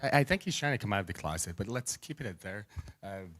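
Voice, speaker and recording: A man's voice: on the low side, expressive, fast talker, fairly bright tone. A man arm wrestler trading jokes and trash talk at a press conference, teasing his opponent. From Canada. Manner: joking, teasing, trash-talking.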